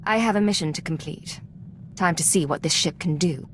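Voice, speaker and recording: Woman as a cool, low-voiced secret agent. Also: moderate pace, slight background noise, lightly acted. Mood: cool, businesslike. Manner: controlled, clipped, businesslike. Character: cool, dutiful female Imperial secret agent. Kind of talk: scripted game dialogue.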